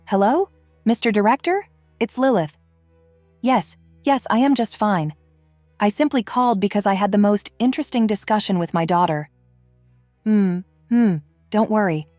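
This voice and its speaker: A woman playing a composed, courteous, secretive mother in an animated web series, speaking politely and formally. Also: medium pitch, normal speed, long pauses, no echo, faint music behind, natural voice. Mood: calm, pleased.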